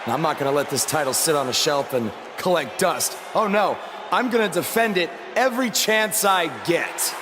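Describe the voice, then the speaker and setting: A man's voice: a little high, animated, normal speed, crowd noise, close to an everyday voice. A man voicing a proud, determined young champion wrestler giving an earnest, declamatory promo in a video game. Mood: defiant. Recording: reverberant arena, noise behind.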